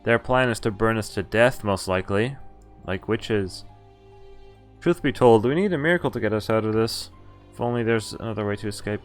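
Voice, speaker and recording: A man's voice: on the low side, soft background music. A man streaming a game, reading visual novel text aloud and adding casual commentary. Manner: halting asides, casual swearing.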